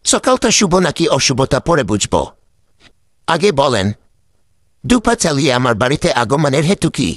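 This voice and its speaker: A man's voice: on the high side, big pitch swings, moderate pace, long pauses, a bit bright. A man voicing the witty old village sage in a cartoon, teasing and grumbling to himself. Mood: wry. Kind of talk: cartoon voice acting.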